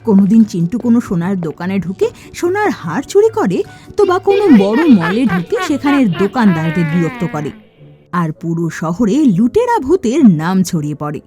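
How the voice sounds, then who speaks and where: A woman's voice: mid-range voice, sing-song, a little fast, dark tone, soft background music. A woman giving scripted narration for a cartoon story.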